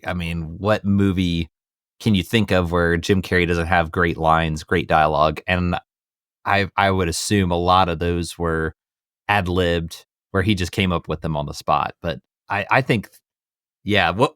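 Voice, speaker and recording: A man's voice: low-pitched, moderate pace, a bit bright, no echo, studio quality. A man co-hosting a casual movie discussion podcast, talking in a rambling, enthusiastic, conversational way. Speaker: podcast co-host.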